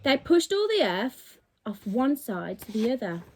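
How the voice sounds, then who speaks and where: A woman's voice: a little high, big pitch swings, measured pace, a little mellow. A woman reading a children's story aloud like a teacher to her pupils, expressively and with dramatic emphasis on key words.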